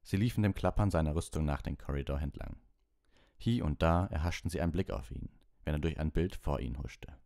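A man with a very deep voice narrates a novel. His reading is measured and expressive, and the recording is dry, free of room sound. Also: vocal fry, dry recording. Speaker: audiobook narrator. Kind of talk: scripted literary narration.

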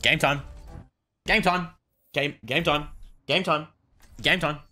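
A young adult man chatting excitedly on a gaming livestream, tossing out short repeated catchphrases. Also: fairly high pitch, slow, soft background music. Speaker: game streamer.

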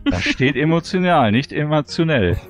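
A man's voice: a little high, sing-song, normal speed, soft background music. A man chatting casually as a panelist on a podcast. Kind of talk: casual chat.

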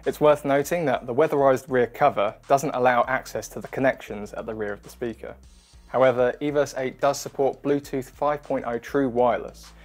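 A man in his thirties presenting a scripted product demonstration in a clear, instructional manner. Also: medium pitch. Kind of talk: scripted product review narration. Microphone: wireless lavalier or close studio mic. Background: background music.

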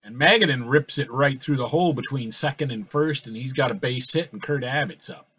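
A man calling a simulated baseball game in casual play-by-play, his voice slightly raspy from a cold, rambling and correcting himself as he goes. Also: mid-range voice, fairly quick, a bit bright. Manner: rambling, with fillers and self-corrections. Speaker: host playing a baseball sim.

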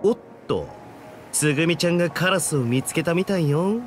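A Japanese actor playing a flippant, playful, laid-back young soldier in an anime game. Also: male voice, a little high, lively intonation, fairly quick, soft background music, natural voice.

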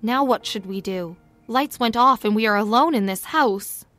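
A woman's voice: sits high, lively intonation, normal speed, voice pushed up. A woman voicing a frightened young woman who pleads anxiously. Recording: faint music behind.

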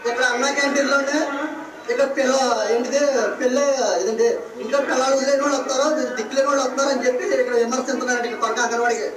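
Man, urgent narration, quick high voice, distant from the mic, roomy echo, loud crowd behind. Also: flat delivery. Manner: urgent, pointing things out on camera. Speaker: bystander filming a viral video. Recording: echoey phone video with loud crowd. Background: loud crowd chatter.

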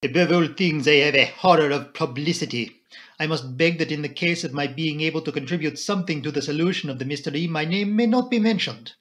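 A male reader plays a retired Belgian detective from a classic mystery audiobook, quietly shrewd and modest. He speaks with formal courtesy in a measured, somewhat high voice. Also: close to an everyday voice. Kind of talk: audiobook character dialogue.

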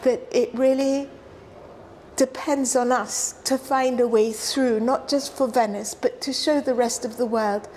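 A middle-aged woman who grew up in London, explaining environmental work in a relaxed sit-down interview, earnest and measured. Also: fairly high pitch, a little hiss or hum. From South Africa, raised in London. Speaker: environmental scientist, interview guest. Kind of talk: conversational interview. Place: hotel lounge, seated on a sofa indoors.

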